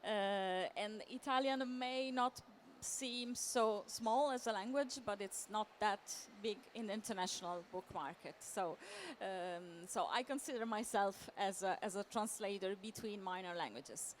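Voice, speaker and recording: Hesitant, slow-paced woman giving a talk. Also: mid-range voice. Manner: hesitant, halting delivery with repeated words. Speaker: literary translator, panel speaker. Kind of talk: conference presentation, semi-improvised. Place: live talk at a book fair event. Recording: clear background noise.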